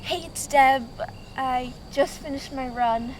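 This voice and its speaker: A woman's voice: high-pitched, slow. A woman voicing a woman who leaves a hesitant, apologetic voicemail at a table read. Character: woman leaving an apologetic voicemail to her partner. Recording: noisy background.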